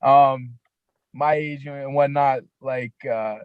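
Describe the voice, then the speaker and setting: A raspy young man with a Toronto accent, a rapper guest talking in a laid-back, halting way with many fillers. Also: mid-range voice, fairly even intonation, dry recording, lo-fi sound. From Canada, grew up in Toronto. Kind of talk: casual podcast interview.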